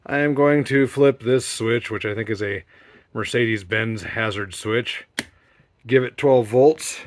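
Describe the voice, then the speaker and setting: Wry man giving a casual demo. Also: medium pitch. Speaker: presenter, junkyard parts hobbyist. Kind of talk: casual hands-on demonstration narration. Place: home garage.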